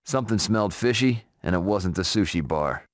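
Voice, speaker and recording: A man playing a tough, wry hard-boiled ex-cop hero in a noir video game, terse and deadpan. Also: on the low side, a little fast, no echo, studio quality, lightly acted. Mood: dry brooding. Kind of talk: noir game narration and cutscene dialogue. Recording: music behind the voice.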